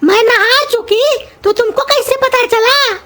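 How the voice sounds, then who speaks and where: A woman's voice: extremely high pitch, a little slow, a bit bright. A woman voicing a young married sister-in-law in a comedy cartoon, talking casually, on a noisy, clicking track. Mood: casual, questioning. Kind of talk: Hindi cartoon comedy voice acting.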